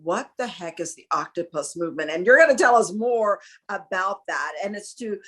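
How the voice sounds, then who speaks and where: A woman co-host and broadcast journalist, talking in a lively, chatty way on a video call. She laughs easily and keeps the talk moving. Her voice sits at an ordinary pitch. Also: normal speed. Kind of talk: casual video call chat.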